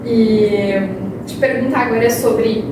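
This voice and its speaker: A woman's voice: on the high side, steady tone, takes it slowly. Female interview host, lots of short backchannels; heavy echo, far from the mic. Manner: frequent short backchannels, laughs easily. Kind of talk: casual interview talk. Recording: echoey room, distant mic, loud noise.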